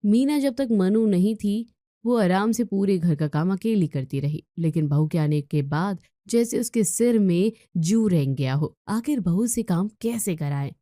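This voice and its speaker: A woman's voice: medium pitch, lively intonation, unhurried, mellow, no echo, clean studio sound. A woman storyteller reading a scripted moral tale as narration.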